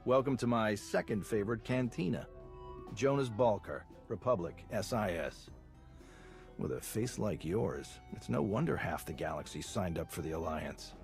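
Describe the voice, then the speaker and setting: Low-voiced, suave spy charmer. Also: male voice, lively intonation, moderate pace, music in the background. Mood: flirtatious, breezy. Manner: smooth-talking, casual, teasing. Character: suave, flirtatious male Republic spy, easygoing charmer. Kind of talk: video game cutscene acting.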